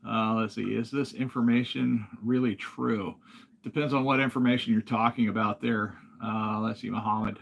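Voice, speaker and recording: A man from Alaska hosting a casual livestream, talking in a relaxed, rambling way and reading viewer comments aloud. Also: on the low side, moderate pace, gravelly. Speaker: livestream host.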